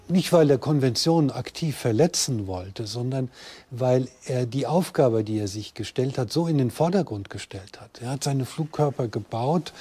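A man's voice: medium pitch, dark tone, husky. A man giving a reflective, unscripted expert interview, searching for his words as he goes.

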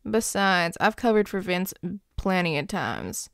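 A woman acts out a grumpy werewolf boyfriend in an intimate audio roleplay. The voice is lowish and gravelly, recorded very dry. The delivery is halting, gruff and tender, addressed straight to a loved one. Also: big pitch swings, no echo. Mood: wry, affectionate. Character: grumpy, devoted young male werewolf boyfriend.

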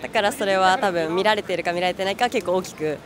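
A woman's voice: medium pitch, fast, a crowd in the background. A young woman in her twenties gives a thoughtful, hedging street-interview answer into a handheld mic outdoors. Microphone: handheld mic held by interviewer.

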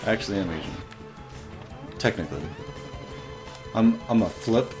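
Young male let's-play host, lively up-and-down voice, excitable, cursing at the game. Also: on the low side, moderate pace, over music. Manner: excitable, swearing, frustrated outbursts. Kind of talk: casual gameplay commentary.

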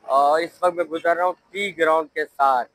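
A man's voice: a little high, steady tone, a little fast, fairly bright tone, dry recording. A middle-aged man, chatting casually as he drives and points out the sights, recorded inside a car. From Pakistan. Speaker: vlogger. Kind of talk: casual driving tour narration. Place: inside a car on city streets. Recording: car and street noise behind.

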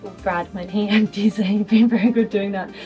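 A woman's voice: medium pitch, fairly even intonation, brisk, dark tone. A young Australian woman chatting casually as she shows round her caravan, upbeat with plenty of ums and likes. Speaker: vlogger showing a caravan. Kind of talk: casual walk-through chat. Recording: faint music under the talk.

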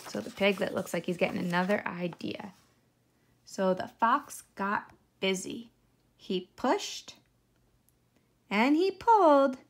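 A woman's voice: medium pitch, lively intonation, slow, pauses often. A woman reading a children's storybook aloud as a teacher, adding simple explanations of the pictures for young listeners.

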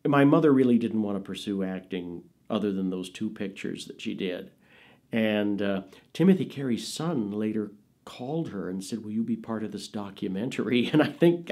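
A man in his fifties telling family stories in a casual, animated way, recorded in a small studio. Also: medium pitch. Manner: animated, expressive storyteller. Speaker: interviewee. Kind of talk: casual storytelling.

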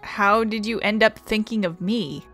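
A woman's voice: mid-range voice, a little slow, fairly bright tone. Rambling female gamer over faint music. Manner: rambling, self-deprecating. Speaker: video game streamer. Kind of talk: casual let's-play commentary, reading choices aloud.

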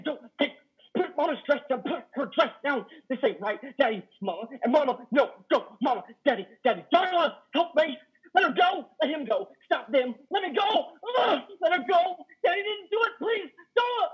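A man in a play reading, acting a worn-out trucker who relives childhood trauma like a frightened boy, halting and pleading. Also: extremely high pitch. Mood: anguished pleading. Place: living room on a sofa. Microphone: headset on a video call. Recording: muffled video-call audio.

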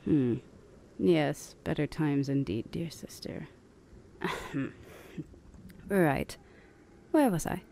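A centuries-old vampire elder sister played by a woman talks in a very low, dark, velvety voice. She is haughty, stern and formal, an imperious protector in an ASMR audio roleplay. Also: lively intonation, drawn-out words, gravelly, pauses often, slight background noise, full character voice. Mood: haughty, composed. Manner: formal, imperious, easily flustered.

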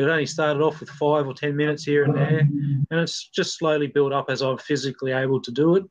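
A middle-aged Australian man recollecting casually on a video call, in an understated, halting way. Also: mid-range voice. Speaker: podcast guest. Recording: dull video-call audio.

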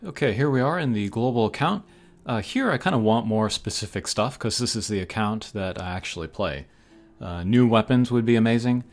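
A man's voice: on the low side, animated, brisk. A man hosting a gaming channel, giving casual, hesitant commentary as he thinks aloud over mobile game pulls. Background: game music.

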